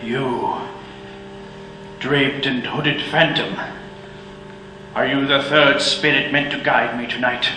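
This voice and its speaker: A man's voice: medium pitch, fairly even intonation, slow, long pauses, over music, natural voice. A man playing old Scrooge, pleading. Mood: awed. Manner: pleading, fearful. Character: miserly old man Scrooge, anxious and repentant. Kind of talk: 1940s radio acting. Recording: echoey old radio broadcast.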